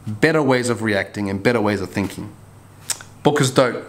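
A man's voice: on the low side, moderate pace. A man chats casually to camera, laid-back and slangy, often repeating his phrases. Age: 30s. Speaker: barber vlogger. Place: small bare barbershop room. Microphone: camera mic at arm's length or nearby. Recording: clear background noise, room ambience.

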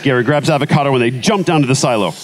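A man's voice: mid-range voice, very fast, very noisy. A man narrating scripted stage directions at a live comedy table read.

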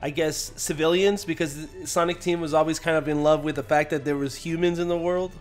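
Higher-voiced man in casual chat. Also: fairly even intonation, fairly quick. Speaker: co-host of a gaming news show. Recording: music behind the voice. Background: background music.

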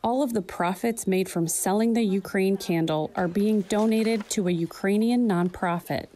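Mellow-voiced woman reporter narrating. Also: medium pitch, normal speed, slight background noise. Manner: steady, clear narration. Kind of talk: scripted voice-over narration.

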